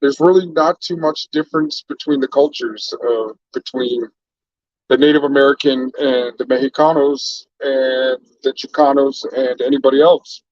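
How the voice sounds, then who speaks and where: A man's voice: medium pitch, normal speed, no echo, muffled recording. A man from Canada hosting a casual livestream chat, laid-back and rambling as he greets viewers by name. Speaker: livestream host.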